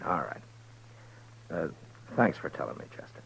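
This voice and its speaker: An actor playing a steady frontier marshal in a 1950s radio western, narrating in a slow, measured, low-key way. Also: male voice, vocal fry, slight background noise, full character voice, rough recording. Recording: old radio broadcast with music.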